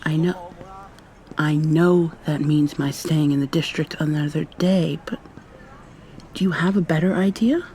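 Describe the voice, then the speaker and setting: Hushed, mellow, wary fugitive woman acted out. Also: sits low, unhurried, pauses often, slight background noise. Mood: tense, guarded. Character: wary human woman hiding in a vampire district. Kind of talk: ASMR roleplay, intimate hushed acting.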